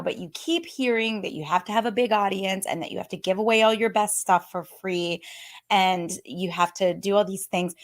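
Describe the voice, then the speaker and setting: A woman, a little hoarse, chatting brightly as host of a live stream for coaches. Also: mid-range voice. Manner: bubbly and chatty, says "y'all", laughs often. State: slightly hoarse. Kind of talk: casual live-stream talk.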